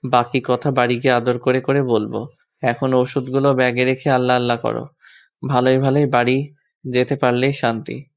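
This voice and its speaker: A man's voice: mid-range voice, little pitch movement, moderate pace, lightly acted. A young man playing an exasperated, bossy yet fond husband in a romantic audio story, with a scolding, sarcastic manner. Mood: teasing, fond.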